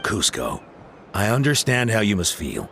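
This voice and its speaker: An actor playing a calm, reassuring male mentor and leader in a video game. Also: fairly low pitch, normal speed, slight background noise, heavily acted. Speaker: game character. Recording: game audio with soft music.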